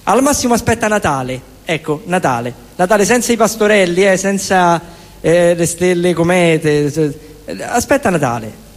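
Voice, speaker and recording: A man's voice: sits high, lively intonation, moderate pace. A man performing wry, satirical storytelling, moving between spoken monologue and song in a conversational manner. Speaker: singer-songwriter and stage performer. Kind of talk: theatrical spoken monologue and sung storytelling songs with scat refrains. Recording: music throughout, plucked guitar. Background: acoustic guitar accompaniment.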